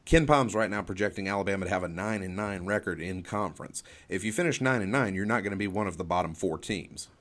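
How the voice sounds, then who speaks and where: A man's voice: deep voice, fast talker, few pauses, fairly bright tone. A man giving off-the-cuff sports analysis in an explanatory way, often restarting and repeating his phrases. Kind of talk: off-the-cuff college basketball analysis.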